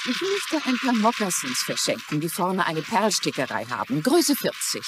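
An actress playing a kindly, shrewd middle-aged crime writer in a German-dubbed mystery drama, speaking politely and inquisitively. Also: female voice, fairly low pitch, wildly swooping pitch, normal speed, background noise. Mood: polite, probing.

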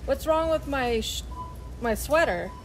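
A woman's voice: sits high, lively intonation, measured pace, music in the background, rough recording. An American woman speaking casually as a shopper in a store. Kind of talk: casual spoken exchange. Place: inside a large store.

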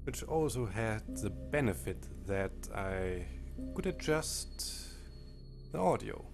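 A low-voiced man whose native tongue is German speaks slowly and haltingly, stopping mid-sentence before going on. Quiet game menu music plays faintly underneath. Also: expressive, creaky. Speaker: let's play commentator. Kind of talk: game commentary with disclaimers.

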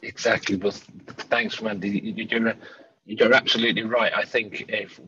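A man's voice: on the low side, little pitch movement, moderate pace, bright, forceful tone, breathy edge. A man in his forties hosting a panel webinar over a video call, encouraging his guests with brief restarts. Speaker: webinar host. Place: home room, indoors. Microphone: earpiece headset on a video call. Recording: dull, dry video call audio.

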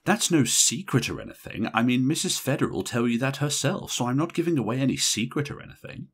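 A man reading an audiobook, voicing a brash, irritable young woman in a bridesmaid's role who talks in a shrill, insistent way. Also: animated, a little fast, slightly rough. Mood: gossiping. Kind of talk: audiobook character voice.

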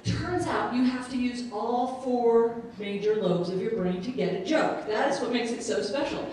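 A woman's voice: a little high, normal speed, darkish tone, a little hiss or hum. Playful middle-aged woman doing stand-up. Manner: playful, self-mocking, chatty with audience. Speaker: stand-up comedian and speaker. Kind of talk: stand-up comedy set. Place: stage in a large room with a live audience. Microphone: handheld mic. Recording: roomy, distant, with audience laughter.

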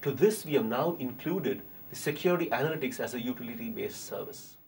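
A man, a security manager, giving a formal, steady interview answer in an office. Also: mid-range voice, a little fast, gravelly, recorded from a distance, slight background noise.

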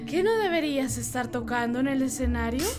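A woman's voice: sits high, expressive, fairly quick. A woman playing a wry, melancholy female cat club owner in a cartoon, with a Latin American accent. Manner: languid, wry. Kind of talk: animated cartoon fandub.

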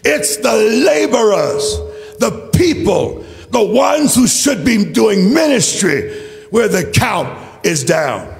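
High-pitched, impassioned male preacher in echoing hall. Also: gravelly. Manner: declamatory, emphatic, repetitive rhetorical phrasing. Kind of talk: impassioned church sermon. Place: large church. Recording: reverberant hall, faint music.

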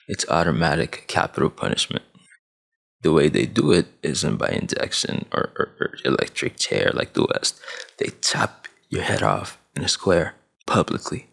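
Gravelly deep-voiced young man ranting. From Sudan, grew up in Saudi Arabia. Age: 20s. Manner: preachy big-brother tone, emphatic, with hand gestures. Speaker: vlogger. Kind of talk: motivational rant to camera. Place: small dim room at home, gaming chair behind. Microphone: headset with boom mic.

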